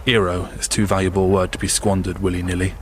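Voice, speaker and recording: Man acting a deep-voiced, world-weary London army sergeant. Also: flat delivery, fast, a little hiss or hum, lightly acted. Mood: blunt, cynical. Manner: blunt, world-weary, colloquial. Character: gruff, plain-spoken British army sergeant of World War One. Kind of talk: audio drama acting.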